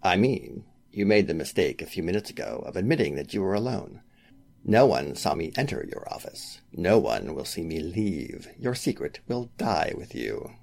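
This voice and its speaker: A man's voice: low voice, very animated, moderate pace, creaky, darkish tone, full character voice. A man playing a scheming, formal lawyer with a killer's intensity in a 1940s-style radio drama. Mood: cold menace. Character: scheming, smooth-talking male lawyer turned desperate killer. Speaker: voice actor.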